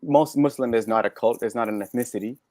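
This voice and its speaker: A man with a Canadian accent, a podcast guest telling personal stories candidly, halting and correcting himself in an animated way. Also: mid-range voice, no room sound, clean studio sound. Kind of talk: candid personal storytelling in conversation.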